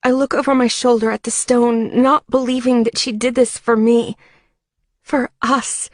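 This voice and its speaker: Female audiobook narrator, slightly high voice, unhurried, emotive first-person storytelling. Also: flat delivery, no room sound, clean studio sound.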